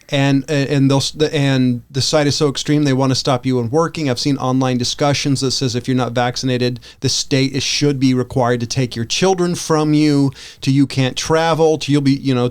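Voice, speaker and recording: Man, medium pitch, podcast co-host, casual opinionated talk, halting, rambling, frequent restarts.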